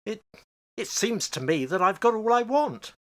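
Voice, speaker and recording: A man's voice: high voice, sing-song, moderate pace. Man playing a genial father with swooping intonation. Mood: musing, amused. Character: genial, curious, stubborn father of a household. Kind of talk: radio play acting.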